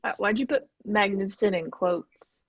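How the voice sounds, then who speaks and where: A woman's voice: medium pitch, normal speed, breathy edge, no room sound. An actress playing a flighty, chatty young woman in a screenplay table read, with casual conversational delivery. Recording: dull, dry remote call audio.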